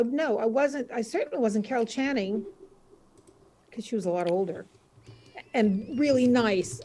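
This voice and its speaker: Blunt older woman, slightly high voice. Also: darkish tone. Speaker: interview guest, former stage performer. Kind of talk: casual reminiscing on a panel. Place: remote video call from a room. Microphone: video call. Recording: faintly noisy video call audio.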